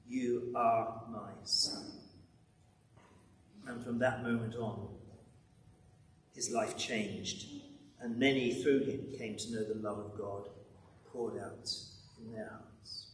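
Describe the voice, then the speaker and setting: An older man preaching a sermon as dramatic storytelling, moving between loud swells and hushed, quiet phrases. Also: mid-range voice. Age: 60s or older. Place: large church interior, at lectern. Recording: roomy echoing church, distant, background noise.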